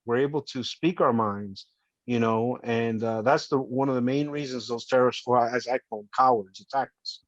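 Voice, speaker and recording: A man in his fifties speaks as a podcast guest, earnest and heartfelt as he recounts his life. His voice sits at a middling pitch, and the recording is dull and lo-fi with a dry, close sound. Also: no room sound. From Colombia, raised in New Jersey. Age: 50s. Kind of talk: casual interview storytelling.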